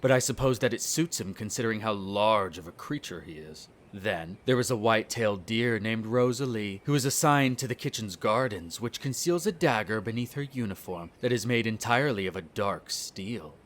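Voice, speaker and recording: A man's voice: on the low side, animated, moderate pace, few pauses, natural voice. A man playing a smug, cruel vampire noble in an audio roleplay, speaking in a haughty, condescending, formal drawl. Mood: haughty, musing. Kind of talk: scripted audio roleplay acting.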